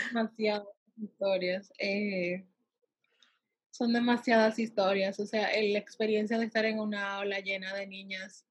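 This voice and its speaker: Husky, even-toned woman in conversation. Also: medium pitch, no echo. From United States. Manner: halting, searching for words with drawn-out pauses. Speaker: interviewee, salon worker and empowerment advocate for girls. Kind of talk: conversational interview answers.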